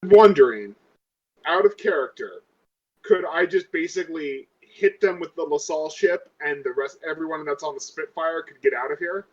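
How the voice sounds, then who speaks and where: A man's voice: on the high side, normal speed, lo-fi sound. A man chatting casually as a player in a tabletop game, insistent and restarting his questions.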